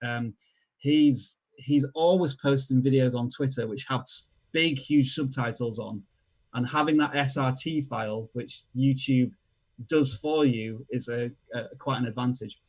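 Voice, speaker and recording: Yorkshire male guest, casual and halting, mid-range voice. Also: medium pitch, poor audio. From England. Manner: relaxed, hesitant with many fillers, self-deprecating. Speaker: guest expert. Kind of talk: casual online show chat.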